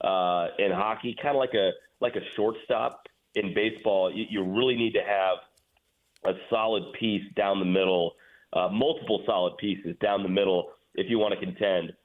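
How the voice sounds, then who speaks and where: Male sportscaster guest, lowish voice, conversational and explanatory, heard down a narrow phone connection. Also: fairly even intonation, moderate pace. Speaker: radio play-by-play announcer, interview guest. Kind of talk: casual sports talk interview. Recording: tinny phone line.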